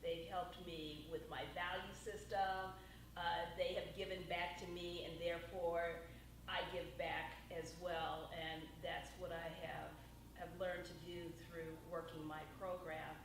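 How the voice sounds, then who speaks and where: A woman speaking as a panelist in a reflective, measured way. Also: on the low side, little pitch movement. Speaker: panelist, nonprofit manager. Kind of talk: panel discussion. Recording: very echoey room, faint noise.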